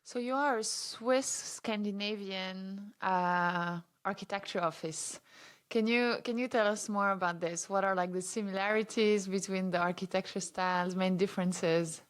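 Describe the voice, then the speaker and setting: A woman's voice: mid-range voice, moderate pace. A woman hosting a podcast interview, asking questions in a friendly way with some hesitation. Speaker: podcast host.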